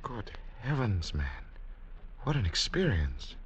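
An actor playing a kindly, concerned gentleman in a 1940s radio drama, speaking in a solicitous, hushed way. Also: lowish voice, big pitch swings, takes it slowly, a little hiss or hum. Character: concerned, kindly Spanish-titled gentleman friend of wanderer. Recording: muffled vintage radio recording.

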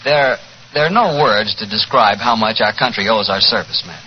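A man's voice: on the low side, brisk, highs cut off, slight background noise. A man with an American accent announcing in a polished 1940s radio style. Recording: band-limited old radio recording.

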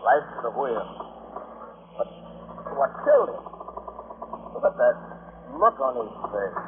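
A man's voice: medium pitch, sing-song, measured pace, narrow, tinny audio. A man acting an eager, questioning crewman in a 1940s radio drama. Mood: shocked. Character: eager, questioning sailor crewman, deferential to his captain. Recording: noisy, band-limited old radio recording.

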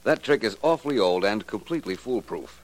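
A man's voice: on the low side, lively intonation, brisk. A man playing a suave, self-assured detective in a 1950s radio drama, with polished, formal phrasing. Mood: cool, probing. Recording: noisy old radio recording.

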